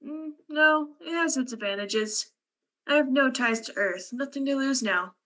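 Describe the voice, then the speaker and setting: A woman playing a fatalistic, cerebral male scientist in a science fiction radio play, speaking in a measured, wry way. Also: high-pitched, fairly bright tone. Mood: wry, detached.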